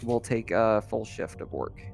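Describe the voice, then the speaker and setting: Game master for tabletop session: easygoing, slow delivery, soft music underneath. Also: medium pitch, vocal fry. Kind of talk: casual tabletop game narration.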